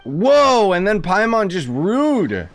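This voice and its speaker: A man's voice: high voice, very animated, slow. A young man giving casual, reactive gaming commentary in a slangy, joking, mocking manner. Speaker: gaming streamer.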